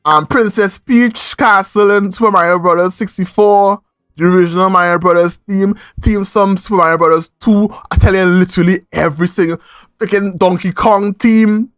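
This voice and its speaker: Emphatic Trinidadian man, slightly high voice. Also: moderate pace, clean tone, no echo. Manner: animated, emphatic, repeats phrases for effect. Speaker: podcast host. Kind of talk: casual review chat.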